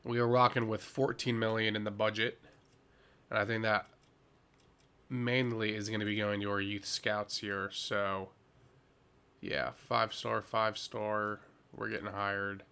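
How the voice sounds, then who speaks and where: A man's voice: lowish voice, little pitch movement, a little slow, gravelly, bright, forceful tone, smooth. A man giving casual, laid-back gaming commentary as host, sounding a little stuffy with a head cold.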